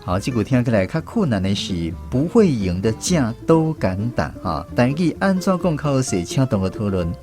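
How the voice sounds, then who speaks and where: A man's voice: medium pitch, big pitch swings, moderate pace, few pauses. A man with a Taiwanese accent, speaking as a radio host leading a language quiz segment. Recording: music playing behind the voice. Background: instrumental music.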